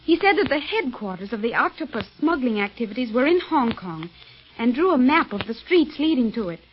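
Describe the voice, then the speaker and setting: A woman's voice: fairly high pitch, sing-song, a little fast, slight background noise. A woman playing an anxious, earnest young lady in a 1930s radio melodrama, fretful and carefully spoken. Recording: muffled old 1930s radio recording.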